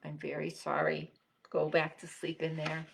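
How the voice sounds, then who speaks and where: A woman's voice: mid-range voice, big pitch swings, moderate pace, creaky, sharp tone, slightly rough, pitched above the performer's own voice, full character voice. A woman voicing a stubborn, self-assured goose in a children's picture book, with emphatic, argumentative delivery. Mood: soft apology.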